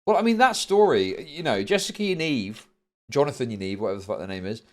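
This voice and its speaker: A middle-aged man with a British accent hosting a livestream, chatting casually and telling stories in a rambling, sweary, animated way. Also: medium pitch, quick pace. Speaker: livestream host. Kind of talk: casual chat to a livestream audience.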